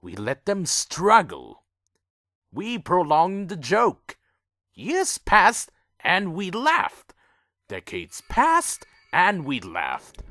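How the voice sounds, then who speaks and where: Slow, gravelly, proud vampire lord. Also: male voice, high-pitched, wildly swooping pitch, pushed and bright, dry recording, clean studio sound, heavily acted. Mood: bitter, brooding. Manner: slow, theatrical, brooding and menacing. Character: proud, bitter ancient vampire clan leader, contemptuous of humans. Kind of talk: dark fantasy audio drama monologue. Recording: faint music under the voice.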